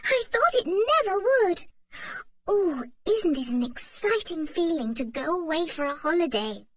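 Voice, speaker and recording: A woman reading aloud, voicing an eager, excitable little girl in a children's storybook. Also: very high-pitched, a little slow, voice pushed up, full character voice.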